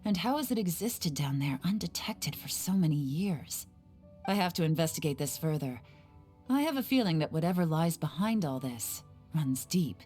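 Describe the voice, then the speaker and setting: A woman's voice: deep voice, animated, normal speed, a little mellow, breathy edge. A woman voicing a composed, teasing female spy with a wry, slightly menacing manner in a game cutscene. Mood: thoughtful. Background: game music.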